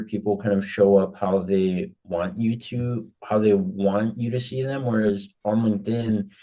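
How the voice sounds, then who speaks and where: A man's voice: on the low side, dark tone, highs cut off. A man from Hawaii, a guest on a business podcast, talking casually and often restarting his sentences. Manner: conversational, repeats words when restarting. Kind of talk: casual interview chat.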